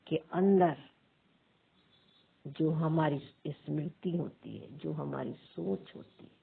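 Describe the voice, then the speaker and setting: A woman leads a guided meditation as a teacher, pausing between short, measured phrases in a husky, creaky voice. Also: low-pitched. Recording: thin phone-like sound.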